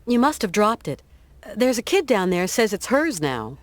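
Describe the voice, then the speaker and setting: A woman's voice: mid-range voice, big pitch swings, normal speed, no echo, natural voice. An actress playing a determined, kindly American woman adventurer in a video game, talking in an earnest, conversational way. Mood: persuasive.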